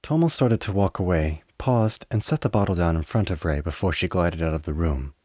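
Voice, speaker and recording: A man's voice: sits low, animated, a little fast, darkish tone, no echo, studio quality. A man reading scripted narration for a radio play.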